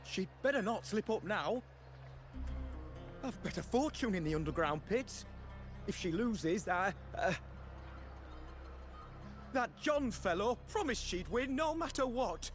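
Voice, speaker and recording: A high-strung gambler from a video game, very high in pitch for a man. He frets and falters nervously, with faint music behind. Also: expressive, unhurried, long pauses. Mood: anxious.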